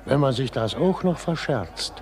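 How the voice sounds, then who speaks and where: Ein Schauspieler spielt in einem Hörspiel einen frommen, strengen alten Weber und Familienvater, ernst und mahnend, mit schlesischem Akzent. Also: male voice, medium pitch, expressive, a little slow, a little mellow. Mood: grave, earnest. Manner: earnest, admonishing. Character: pious, stern old weaver and family father. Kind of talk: German radio play acting.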